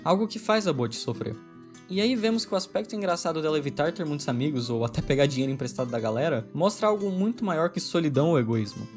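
Male video essay narrator, brisk and wry, soft music bed underneath. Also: a little high, lively intonation. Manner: brisk, opinionated, wry delivery.

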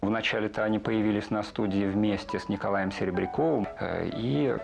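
Middle-aged male interviewee, low gravelly register, hesitant reflective pacing, music underneath. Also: a little fast. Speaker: animation film artist and director interviewed. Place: indoor room with film set behind, seated.

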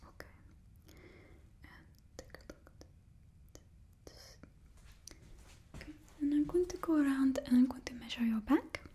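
Young woman playing a villain's stylist, breathy close whisper, fake Russian accent, slow and mellow. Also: sits high. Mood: soft attentive. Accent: put-on Russian accent. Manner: slow, gentle, instructing a client. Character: young female stylist to a supervillain, businesslike. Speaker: ASMR roleplay performer. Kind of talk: whispered ASMR roleplay. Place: small indoor studio with a painted backdrop.

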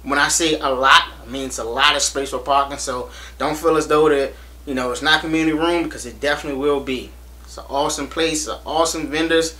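A young American man vlogging to camera in a small room, hyped and animated, repeating himself as he urges viewers to come. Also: fairly high pitch, fast talker. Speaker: reptile channel vlogger. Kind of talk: casual to-camera promo vlog. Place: small indoor room against a plain wall.

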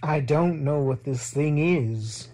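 A man doing a soft-spoken middle-aged scientist. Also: a little high, slow. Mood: puzzled. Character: calm middle-aged male scientist, curious and careful.

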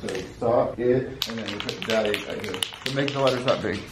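A young man chatting playfully while spray painting at home, breaking into loud yells. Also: mid-range voice, a little fast. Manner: playful, expressive, bursts into yelling. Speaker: creator. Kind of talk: casual chat. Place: large carpeted house indoors. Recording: echoey room, distant mic, faint noise.

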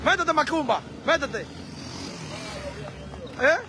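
A man with a Dominican accent giving excitable live street commentary, full of exclamations, recorded on a phone outdoors. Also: very high-pitched, slow pace. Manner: excitable, frequent exclamations and laughter. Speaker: livestream street reporter. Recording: noisy street crowd livestream. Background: crowd and traffic noise.

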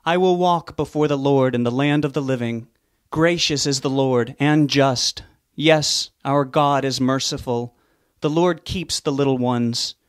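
A solemn funeral officiant, as a man reads him intones scripture slowly and carefully. His voice is somewhat raised and grave. The recording is dry and close. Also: medium pitch, dry recording, voice pushed up, natural voice. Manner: slow, solemn reading of scripture.

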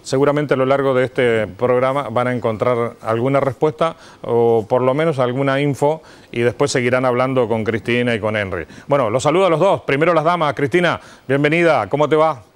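A man's voice: medium pitch, fairly quick, few pauses, smooth. A middle-aged man with an Argentine accent, hosting a live TV interview in a conversational way. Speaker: TV host. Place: TV studio desk. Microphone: lapel mic.

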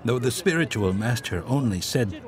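A man's voice: mid-range voice, lively intonation, moderate pace, crowd noise. A man giving an earnest, heartfelt dubbed voice-over for an interviewee.

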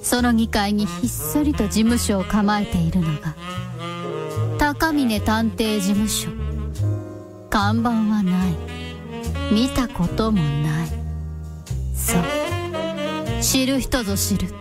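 A woman's voice: mid-range voice, takes it slowly, long pauses, lightly acted. A woman delivering scripted narration as the narrator of a radio drama. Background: music under the voice.

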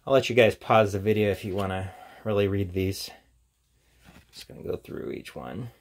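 A man's voice: lowish voice, normal speed. A man giving a casual, off-the-cuff product review in an informal, chatty way as he presents. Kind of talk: casual unboxing and review chat.

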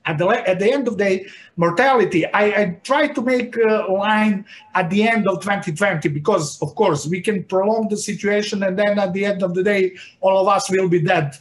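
A middle-aged man whose first language is Serbian, a medical professor giving an interview over a video call from a room at home, talking in a hesitant way with many filled pauses and lively hand gestures. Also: sits high. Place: home room with icons on shelves. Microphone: video call webcam. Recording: echoey room, faint noise.

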